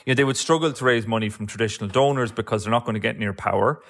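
A man's voice: fairly low pitch, rapid-fire. An Irish man, an author being interviewed, talking through political analysis in a discursive way with many filler phrases. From Ireland. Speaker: author and guest.

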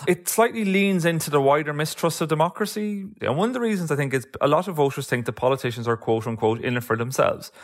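Fast-talking Irish man. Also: a little high, lively intonation. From Ireland. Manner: discursive, many "you know" fillers. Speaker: author and guest. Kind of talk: political analysis in conversation.

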